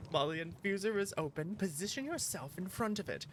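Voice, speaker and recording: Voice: mid-range voice, animated, brisk, background noise, natural voice. A streamer voicing a cheerful, theatrical game character who patiently gives tea-making instructions. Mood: cheerful, instructive. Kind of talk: reading game dialogue aloud. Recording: music behind the voice.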